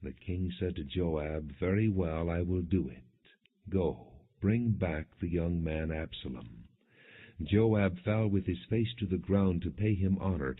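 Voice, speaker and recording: A man narrating scripture as an audiobook, reading in a measured, formal storytelling manner. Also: sits low, breathy edge. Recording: muffled lo-fi, phone-like band.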